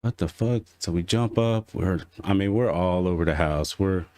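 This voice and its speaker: Deep-voiced man telling a story loosely. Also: a little mellow, clean tone. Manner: loose and profane, quick run-on storytelling. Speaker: podcast co-host. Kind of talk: casual storytelling chat.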